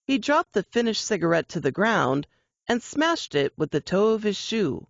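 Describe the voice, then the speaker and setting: Expressive woman narrator, lively pitch swings. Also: normal speed, gravelly, no room sound, muffled recording. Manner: expressive, suspenseful storytelling. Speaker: narrator reading fiction. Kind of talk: dramatic audiobook-style narration.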